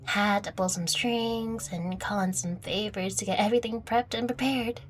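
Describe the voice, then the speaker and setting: A woman's voice: medium pitch, steady tone, normal speed, natural voice. A woman playing a playful, affectionate young catgirl girlfriend in an intimate audio roleplay, teasing, coaxing and tender with the listener. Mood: teasing, secretive.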